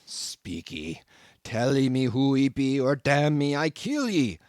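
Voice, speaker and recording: A man voicing a gruff, menacing harpooneer in a storybook, with guttural broken pidgin English. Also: mid-range voice, very animated, slow pace, a little mellow, no room sound, clean studio sound.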